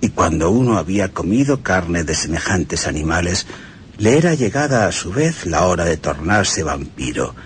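A man with a Spain Spanish accent reciting an old text for radio in a solemn, expressive way. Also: low-pitched, normal speed, slight background noise. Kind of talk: dramatic radio reading.

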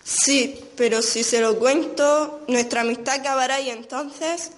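A woman's voice: high voice, unhurried. A young woman acting a lovestruck, conflicted teenage girl in an amateur radio soap. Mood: anxious, earnest. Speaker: student radio drama actor. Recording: amateur school radio play recording.

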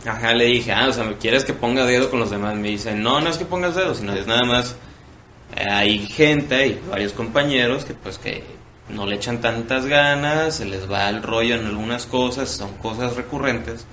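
A man's voice: medium pitch, moderate pace, pushed and bright, a little hiss or hum. Mexican man in his twenties, roomy sound. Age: 20s. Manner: slangy, joking. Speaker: podcast co-host. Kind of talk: casual banter chat. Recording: roomy echo, music behind.

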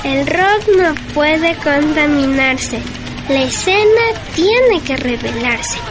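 A woman's voice: high-pitched, expressive, slow pace. A female performer with an Ecuadorian accent playing a nosy, naive, playful little girl in a radio drama. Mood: naive, curious. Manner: childlike, playful. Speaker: actor in a radio serial.

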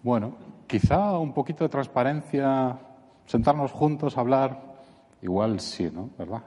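A man with a Spanish accent from Catalonia speaks at a conference, his low voice ringing through a large hall. He talks in an animated way and slips into mocking voices when he quotes people. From Barcelona. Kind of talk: conference talk.

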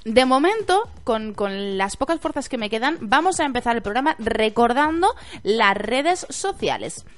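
A woman from Spain hosting a casual radio chat show, hoarse from a sore throat and playful, often laughing. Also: fairly high pitch, big pitch swings, moderate pace, a bit bright. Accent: Spanish from Spain. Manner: playful, giggly, chatty. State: hoarse from pharyngitis. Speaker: podcast host.

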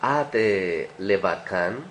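A man giving a language lesson as the teacher, pronouncing short phrases deliberately with hesitant pauses. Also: deep voice, expressive, a bit bright, reverberant, slight background noise.

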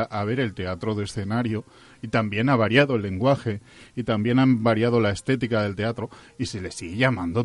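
Man giving opinions in halting discussion. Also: on the low side. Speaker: radio programme participant. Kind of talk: unscripted studio discussion.

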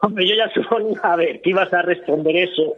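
A man's voice: high voice, brisk. A man in his thirties from Spain, co-hosting a talk radio discussion and making his points with personal examples. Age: 30s. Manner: earnest, illustrates with personal examples. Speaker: co-host. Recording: tinny phone line.

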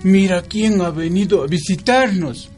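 A very old, tender peasant husband in a radio drama. His voice is high-pitched, with an Ecuadorian Andean accent. Faint music is behind it. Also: unhurried, darkish tone. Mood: affectionate.

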